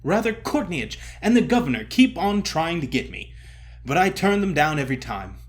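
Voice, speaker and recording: A man's voice: fairly high pitch, sing-song, moderate pace, voice pushed up. A man voicing a boastful, chatty stage actor, breezy and self-important, in an audio story. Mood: smug boasting. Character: boastful, chatty male stage actor bragging to his cronies.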